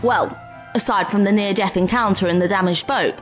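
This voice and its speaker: An actress playing a plucky young adventurer in an audio drama, inquisitive and upbeat. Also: female voice, mid-range voice, normal speed, soft background music, close to an everyday voice. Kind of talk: full-cast audio drama acting.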